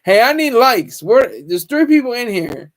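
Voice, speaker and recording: A man's voice: very high-pitched, sing-song, a little slow. A man hosting a casual livestream, rambling, teasing viewers and stumbling over restarts. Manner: stammers and restarts often. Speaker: livestream host.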